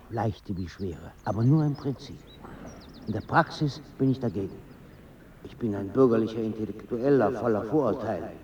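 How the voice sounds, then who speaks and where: An actor in a German radio drama playing a confused, fussy middle-class intellectual, with a pedantic, hesitant and formal manner. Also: male voice, mid-range voice, close to an everyday voice. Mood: prim, disapproving. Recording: dull sound with faint ambient noise.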